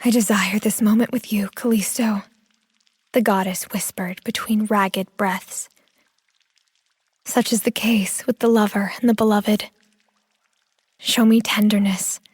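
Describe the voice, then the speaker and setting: A woman reads scripted fiction as a sensual storyteller, breathy and often close to a whisper. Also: a little high, no echo. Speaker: audio drama narrator.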